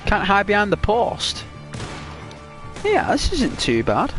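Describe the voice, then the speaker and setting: A man's voice: sits high, wildly swooping pitch, takes it slowly, over music. Rambling British man chatting over gameplay. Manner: rambling, full of "like" and restarts. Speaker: gaming channel host. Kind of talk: casual chat while playing a video game.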